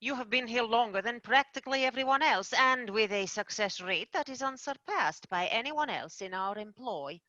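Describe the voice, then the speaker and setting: A woman playing a formal, measured manager of tooth fairies, in an amateur radio play. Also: no room sound, lo-fi sound. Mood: formal, brisk. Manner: formal, measured, lively pitch. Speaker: manager firing an agent.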